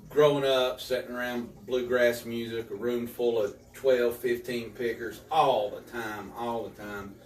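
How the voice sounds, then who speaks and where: A man in his fifties tells his story in a casual interview. He is earnest and restarts his sentences, repeating small words. He sits at a distance from the mic in an echoey room. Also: mid-range voice. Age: 50s. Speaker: author.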